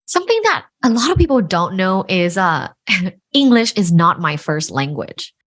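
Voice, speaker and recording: A woman's voice: mid-range voice, very animated, normal speed, a bit bright. A woman from Thailand whose first language is Thai, a guest telling stories in a casual interview. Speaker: guest, relationship researcher.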